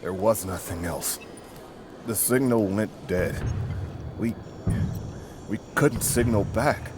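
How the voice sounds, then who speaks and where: An actor playing an injured man colonist who recounts a disaster in a halting, rattled way. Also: lowish voice. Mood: shaken. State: hurt and shaken. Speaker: injured comms tower worker. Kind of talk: video game voice acting. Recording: game mix with noisy ambience.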